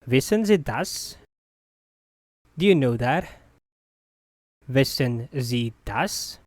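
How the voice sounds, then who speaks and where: A teacher's voice slowly repeating short phrases in a language drill, each one said clearly and evenly. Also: mid-range voice, animated, dry recording, clean studio sound. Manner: deliberate, evenly paced repetition of short phrases.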